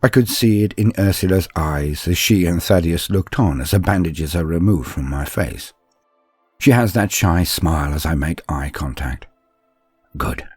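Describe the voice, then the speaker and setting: A man playing an earnest, deferential young male apprentice, telling his story in a measured, confiding, introspective way. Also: low voice, darkish tone, breathy edge, no echo, faint music behind, pitched below the performer's own voice. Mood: confiding, wondering. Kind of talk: first-person dramatic storytelling.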